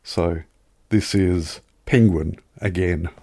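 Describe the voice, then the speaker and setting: A man's voice: low-pitched, fairly even intonation, takes it slowly, dark tone, no room sound, clean studio sound. A man chatting casually as a podcast co-host, telling anecdotes in wry, wordy turns of phrase.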